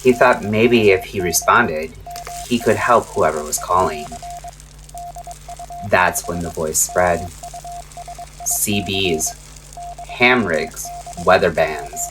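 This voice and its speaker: Low slow guy doing spooky late night radio storytelling, lots of dramatic pauses, some noise in the recording. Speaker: radio-style host and storyteller.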